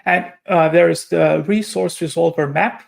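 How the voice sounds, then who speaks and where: A man's voice: fairly high pitch, fairly even intonation, a little slow, vocal fry. A man from Romania, giving a technical conference presentation and explaining step by step with frequent hesitations and self-corrections. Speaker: conference speaker.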